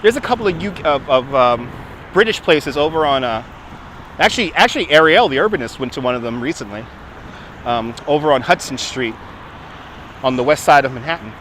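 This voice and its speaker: Bright-voiced New York man. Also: mid-range voice, expressive, slight background noise. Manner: chatty, answering viewers, quick laughs. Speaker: livestream host. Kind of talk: casual live walking stream, answering chat. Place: outdoors on a city waterfront. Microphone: phone on a handheld gimbal.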